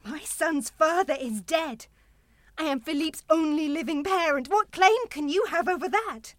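High-voiced actress as a fiercely protective mother. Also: lively intonation, unhurried, no echo, clean studio sound. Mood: defiant. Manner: guarded, challenging, intense. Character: proud, defensive single mother, fiercely protective of her son. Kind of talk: radio play acting.

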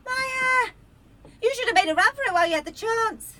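A woman's voice: very high-pitched, animated, brisk, sharp tone, slight background noise, exaggerated voice. A woman playing a scatty, overworked young student in a radio play, breezy, blunt and rambling as she talks. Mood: chatty, scatty. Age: young adult. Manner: breezy, rambling, self-interrupting. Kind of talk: naturalistic radio play acting.

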